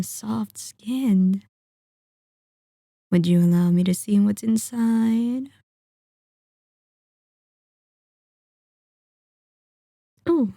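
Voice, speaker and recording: A woman's voice: medium pitch, vocal fry, dark tone, no room sound, clean studio sound. A woman voicing a possessive, sadistic vampire in an ASMR roleplay, with a silky, teasing, mock-polite menace. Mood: covetous, insistent. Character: sadistic, possessive female vampire lord toying with captive hunter.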